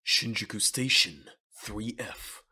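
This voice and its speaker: A narrator reading scripted manga audio drama captions in a subdued, measured way. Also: mid-range voice, bright, forceful tone, husky.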